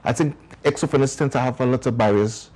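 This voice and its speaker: A man's voice: mid-range voice, fast, a little mellow. A man with a Trinidadian accent, a community organization founder explaining his work at length as a guest in a TV interview.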